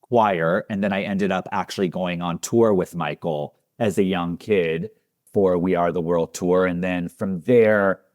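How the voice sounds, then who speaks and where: A middle-aged man from Israel, telling his life story in a relaxed, affectionate way as a podcast guest. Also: sits low, measured pace, no echo, clean studio sound. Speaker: guest hairstylist. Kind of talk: casual interview talk.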